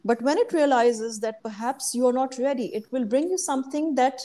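A woman speaks as a guest in a relaxed interview, earnest and thoughtful. Her slightly high voice pauses often on "uh" and "you know" while she searches for words. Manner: earnest, reflective, hesitant with fillers. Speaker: guest, hypnosis coach. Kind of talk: casual interview chat.

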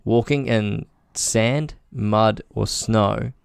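A man's voice: on the low side, steady tone, drawn-out words, creaky, clear, resonant tone, dry recording, studio quality. A young man in laid-back, slangy casual chat with a friend. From New Zealand.

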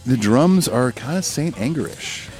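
A man's voice: medium pitch, expressive, unhurried, a little mellow. A man co-hosting a music podcast in casual, conversational talk with some swearing. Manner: informal, profane, conversational. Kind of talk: casual chat about rock music.

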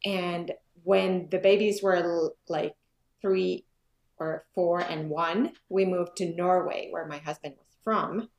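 A woman's voice: fairly low pitch, steady tone, slow, vocal fry. A woman in her forties from Finland, a yoga business owner, talking casually as an interview guest and thinking aloud with many fillers. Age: 40s. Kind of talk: casual conversation. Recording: echoey room.

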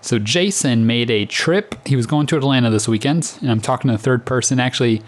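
A young man co-hosts a casual podcast, chatting about racing trips at an easy, even pitch. He talks in a relaxed, storytelling way. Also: a little fast. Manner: chatty, tells stories. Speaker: podcast co-host. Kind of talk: casual chat.

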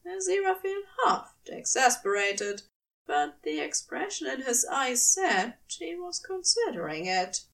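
Slow, expressive high-voiced woman narrator. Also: gravelly, recorded from a distance. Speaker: podfic reader and narrator. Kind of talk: expressive scripted fiction narration.

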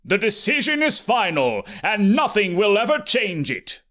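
A man voicing a giant temple idol as a booming, bombastic game-show announcer in an audiobook. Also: big pitch swings, sharp tone, exaggerated voice. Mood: grandly commanding. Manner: bombastic, drawn-out proclamations.

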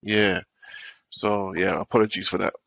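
A man's voice: deep voice, flat delivery, normal speed, creaky, fairly bright tone. A man with a slightly nasal voice from a cold, co-hosting a casual chat and telling a rambling story. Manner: rambling storyteller, repeats himself. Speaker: podcast co-host.

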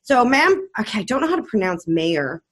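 Woman, radio co-host, casual chat, rambling, lively rising and falling pitch. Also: brisk. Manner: chatty, lots of fillers and restarts.

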